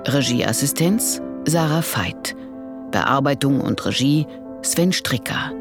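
A woman announcer reading scripted radio credits in a measured, neutral manner. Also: very deep voice, animated, over music.

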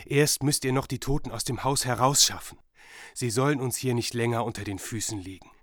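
An actor playing a grim, defiant warrior in a radio play who speaks threateningly. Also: male voice, medium pitch, brisk, fairly bright tone, no echo, close to an everyday voice. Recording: dry studio.

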